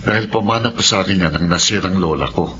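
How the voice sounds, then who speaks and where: An actor playing a firm, skeptical, God-fearing husband, talking conversationally in a radio horror drama. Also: male voice, deep voice, quick pace, bright, forceful tone, lo-fi sound, a little hiss or hum.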